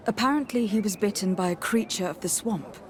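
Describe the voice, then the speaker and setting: A woman playing a composed, courteous young noblewoman diplomat in a video game, speaking formally in measured phrases with a husky voice. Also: mid-range voice, lightly acted. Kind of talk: video game dialogue acting.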